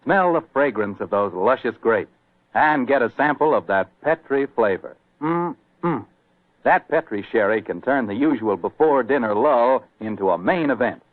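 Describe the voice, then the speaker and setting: A man's voice: medium pitch, expressive, normal speed, dry recording. A man announcing in 1940s radio style, with folksy, emphatic salesmanship. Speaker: announcer and sponsor pitchman. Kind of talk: 1940s radio advertising and narration. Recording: tinny, dry old radio recording.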